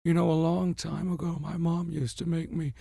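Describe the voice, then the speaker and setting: A man's voice: fairly high pitch, flat delivery, normal speed, gravelly, mellow, slightly rough, no echo, clean studio sound, voice pushed up, full character voice. A man voicing a plaintive, nostalgic male customer in a comic skit, pleading wistfully. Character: plaintive, nostalgic male shopper fixated on prunes.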